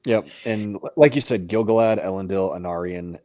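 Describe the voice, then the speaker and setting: A man with a very deep voice co-hosts a casual book discussion. He talks with passion and playful mock outrage, and his voice is recorded dry and close. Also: moderate pace, warm tone, no echo, highs cut off. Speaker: co-host.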